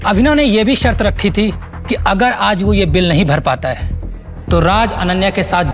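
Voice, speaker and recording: A man's voice: sits high, moderate pace, faint music behind. A man playing a scheming, mischievous young rich troublemaker in an audio drama, speaking as if announcing to friends. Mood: gleeful, sly. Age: young adult.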